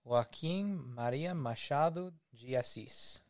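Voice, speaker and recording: A man's voice: medium pitch, takes it slowly, a little mellow. A man co-hosting a podcast in casual chat, teasing his partner and rambling a little. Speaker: podcast co-host.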